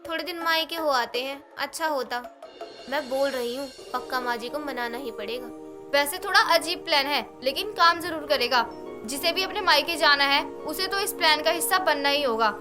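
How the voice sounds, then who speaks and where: A woman voicing a scheming, persuasive young daughter-in-law, coaxing and insistent, in an animated moral story. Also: sits high, normal speed, close to an everyday voice. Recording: music behind the voice.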